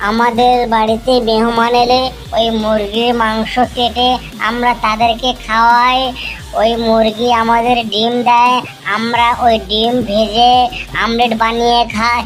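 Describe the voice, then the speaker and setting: A woman's voice: fairly high pitch, little pitch movement, a little slow, soft background music, close to an everyday voice. A woman voicing an earnest young animal pupil in an amateur cartoon comedy. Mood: earnest reciting.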